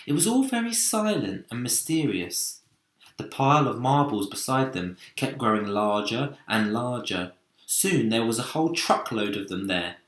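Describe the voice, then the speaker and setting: A man reading a children's story aloud as narration, deliberate and dramatic in delivery. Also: mid-range voice, big pitch swings, a bit bright. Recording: echoey room.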